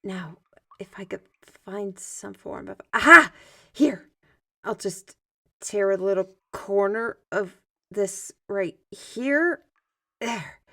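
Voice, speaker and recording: A woman acts out a theatrical artist mage from a fantasy audio drama. She speaks in a mid-range voice with formal, old-world wording. Her delivery is expressive, like a mentor who tells tales, with sighs and small asides to herself. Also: medium pitch, slow pace, heavily acted. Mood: busy muttering. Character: lively, theatrical artist mage with a magic paintbrush, storytelling mentor. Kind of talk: fantasy audio roleplay, ASMR.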